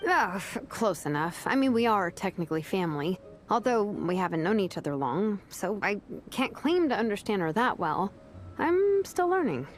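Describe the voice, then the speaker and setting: A woman voicing a dry, practical young craftswoman in a video game, talking in a wry, deadpan way. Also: medium pitch, moderate pace, slight background noise, close to an everyday voice. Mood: modest.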